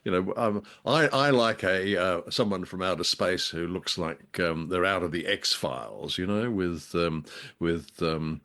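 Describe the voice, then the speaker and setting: Low-pitched, wryly rambling man. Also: a bit bright. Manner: hesitant, wry, digressive. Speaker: co-host of an old-time radio drama discussion show. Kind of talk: casual chat, review commentary.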